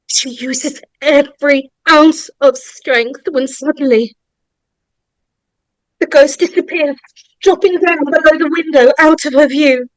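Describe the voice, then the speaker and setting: High-pitched woman narrating horror, slow and deliberate. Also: steady tone, no room sound, rough recording. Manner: suspenseful, deliberate, with dramatic pauses. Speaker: narrator of a script table read. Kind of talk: dramatic reading of horror screenplay stage directions.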